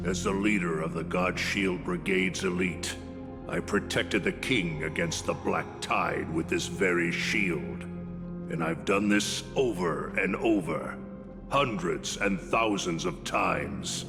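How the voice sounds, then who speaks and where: An actor playing a proud, brave shield-bearing veteran warrior in a video game, with solemn, declamatory delivery. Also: male voice, sits low, takes it slowly, creaky, exaggerated voice. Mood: defiant resolve. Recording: long reverb, loud game music behind.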